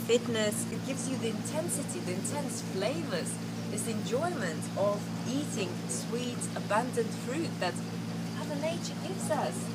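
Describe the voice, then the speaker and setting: A young woman hosting a casual travel vlog, upbeat and laughing easily, on an outdoor terrace. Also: fairly high pitch. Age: young adult. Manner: bubbly, upbeat, laughs often. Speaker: vlogger host. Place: open hillside terrace outdoors. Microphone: camera mic. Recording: steady outdoor background noise.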